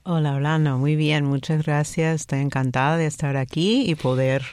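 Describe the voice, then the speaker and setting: American woman, smooth voice, hesitant. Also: sits low, normal speed, no room sound. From New York. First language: English. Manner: relaxed, hesitant, restarts words, laughs easily. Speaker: guest, music researcher and journalist. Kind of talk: conversational interview.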